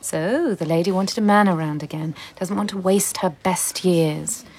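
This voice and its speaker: A woman's voice: on the low side, moderate pace, darkish tone, husky, poor audio. An actress in a radio drama playing an anguished, bitter and volatile Soviet woman in love. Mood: wistful, bitter.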